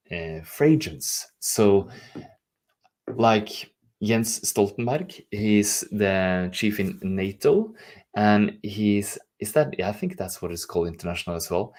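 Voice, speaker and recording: A man's voice: lowish voice, darkish tone. A man with a Norwegian accent, a native Norwegian speaker, talking casually to a livestream audience as a coach in an effusive, affectionate way. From Norway. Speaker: life and leadership coach hosting a live stream. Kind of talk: casual livestream talk to camera.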